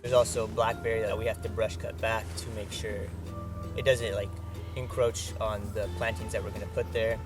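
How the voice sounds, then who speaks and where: A man's voice: on the low side, level tone, moderate pace, music in the background. A man in his twenties from Southern California, a restoration crew member, explaining his work thoughtfully in a documentary interview. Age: 20s.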